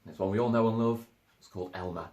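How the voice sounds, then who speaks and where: A man in his thirties giving an expressive narration of a children's storybook. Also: on the low side, quick pace, dull audio. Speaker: storybook reader. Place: small living room, indoors. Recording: echoey living room.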